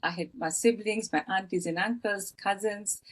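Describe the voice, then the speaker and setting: A woman in her fifties from Fiji, telling her life story as a podcast guest with many pauses, fillers and restarts. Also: mid-range voice, slightly rough. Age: 50s. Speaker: guest, author. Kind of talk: personal storytelling in interview.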